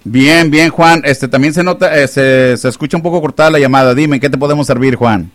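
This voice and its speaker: A man's voice: medium pitch, a little fast, no room sound. A man hosting a call-in radio show, chatting and teasing callers in a joking way. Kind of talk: casual call-in radio banter. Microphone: studio microphone.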